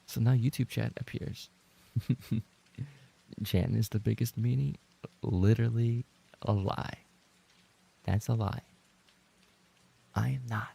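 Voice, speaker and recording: Very gravelly man livestreaming. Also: mid-range voice, fairly even intonation, slow pace, pauses often, dark tone. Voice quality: gravelly, creaky. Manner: playful mock-indignant, sweary, repeats phrases when flustered. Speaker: livestream host and roleplay voice actor. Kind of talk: casual livestream chat, reading and reacting to viewer messages.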